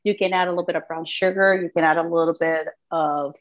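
A woman's voice: on the low side, quick pace. Woman chef on a dull call. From Honduras. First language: Spanish. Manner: relaxed explaining while cooking. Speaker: guest chef. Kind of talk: live online cooking class. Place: home kitchen, indoors. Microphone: video call from a device across the kitchen. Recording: dull lo-fi video call audio.

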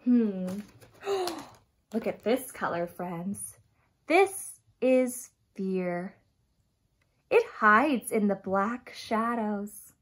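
A woman in her thirties reading a picture book aloud to young children in an expressive, dramatic way, stressing key words and addressing her listeners directly. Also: mid-range voice. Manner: dramatic gasps and emphatic, drawn-out key words. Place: small furnished room at home, indoors.

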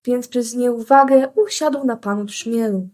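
A woman's voice: fairly high pitch, fairly even intonation, unhurried, noisy background. A woman storyteller reading rhymed narration for children.

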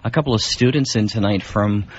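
A man's voice: on the low side, fairly quick, crowd noise. A man hosting a radio show in a casual, chatty style. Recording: dull radio recording, loud noise.

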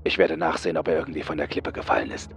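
A man's voice: rumbling low, racing, gravelly, soft background music. A man voicing an authoritative male astronaut commander in a horror audiobook, giving urgent, clipped orders. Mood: tense, urgent. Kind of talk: dramatised horror audiobook dialogue.

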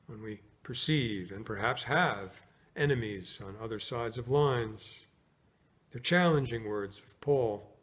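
An American man in his sixties, a pastor preaching and praying in a solemn, measured way with pauses between short phrases. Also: medium pitch. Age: 60s. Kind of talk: sermon, scripture reading and prayer. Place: inside a church, near the altar.

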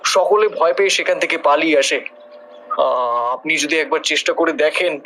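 A man's voice: on the high side, moderate pace, pushed and bright, slight background noise. A man acting as a worried village landlord in a horror audio drama, deferential and pleading.

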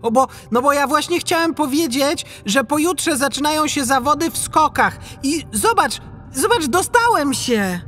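An eager little boy whale, high-voiced and bouncing up and down in pitch. He is excitable and enthusiastic, a children's audiobook figure with music behind. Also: moderate pace. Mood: eager, hesitant. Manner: breathless enthusiasm, pleading.

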